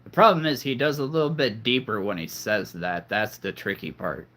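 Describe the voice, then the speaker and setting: A man with a fairly low but bright, forward voice hosts a live stream. He talks in a loose, rambling way and keeps slipping in corny puns. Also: normal speed. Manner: rambling, jokey, punning. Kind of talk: casual live-stream chat.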